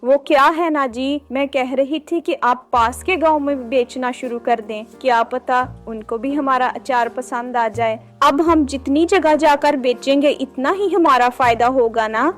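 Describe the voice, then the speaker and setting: A woman's voice: high-pitched, measured pace, few pauses. A woman voicing an anxious, practical farmer's wife in a Hindi village cartoon story. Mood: coaxing. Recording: background music under the voice.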